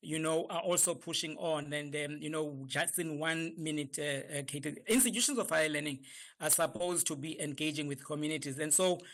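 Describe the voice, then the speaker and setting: A man, a professor guest on a radio talk show, speaking in a measured way with frequent hesitations. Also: fairly high pitch, a bit bright. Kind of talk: radio discussion.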